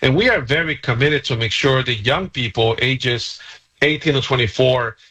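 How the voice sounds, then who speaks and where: A man's voice: mid-range voice, moderate pace, sharp tone, husky, low-quality recording. A man in his forties from Guatemala, a nonprofit CEO, explaining his work earnestly and steadily as a radio guest. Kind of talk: radio interview.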